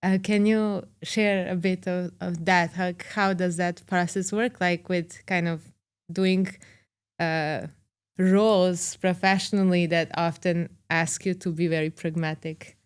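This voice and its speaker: A young woman from Serbia hosting a podcast interview, her voice smooth and slightly low. She talks openly and relates personal stories, scattering "like" through her sentences. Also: steady tone, a little slow. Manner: warm, relating personally, fillers "like" and soft laughs. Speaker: podcast host. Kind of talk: conversational interview.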